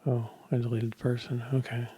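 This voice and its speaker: A man's voice: mid-range voice, little pitch movement, brisk, mellow, breathy edge, no echo, rough recording. Older man muttering over live coding. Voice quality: voice a bit off, slightly strained. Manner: muttering half-whispered asides while coding. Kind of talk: casual live coding commentary. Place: small home office, indoors.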